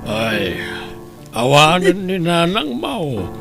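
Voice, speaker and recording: A man's voice: a little high, huge pitch swings, slow, creaky, full character voice. A man playing a consoling, resigned village uncle in an Ilocano radio drama. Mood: somber, resigned. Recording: music behind. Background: music.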